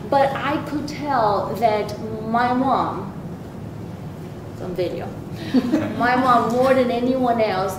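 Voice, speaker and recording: Woman from Brazil, panelist, hesitant delivery, heard from afar in an echoey hall with rumble. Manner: hesitant, many fillers and restarts, laughs often. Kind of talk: panel discussion remarks. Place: large university event hall. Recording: echoey hall, distant mic, background rumble.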